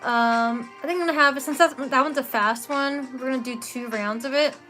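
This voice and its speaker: A young woman from Philadelphia talks in a slightly raised voice, her Philly accent plain as she cheerfully walks a live class through breathing counts. She laughs easily and keeps the tone chatty. Music plays clearly behind her. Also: sits high, normal speed, pushed and bright. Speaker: meditation and breathwork teacher. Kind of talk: live guided breathwork instruction. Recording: music playing under the voice.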